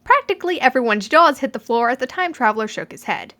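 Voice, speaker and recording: A woman reading fan fiction aloud as an expressive narrator. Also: sits high, fast talker. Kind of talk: expressive scripted story narration.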